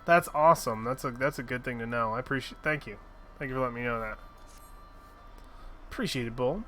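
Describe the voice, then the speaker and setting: A man's voice: medium pitch, a little fast, fairly bright tone, soft background music. A man streaming a fantasy game, chatting casually, joking, swearing and reading quest lines aloud. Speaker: gaming streamer. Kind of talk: casual gameplay commentary.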